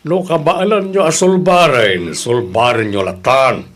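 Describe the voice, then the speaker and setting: Man as lazy, grumpy Ilocano Filipino village official, medium pitch, brushing people off, radio drama style. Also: a little slow, a bit bright, natural voice. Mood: dismissive. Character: lazy, dismissive male village captain, grumpy and unhelpful. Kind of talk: Ilocano audio drama acting.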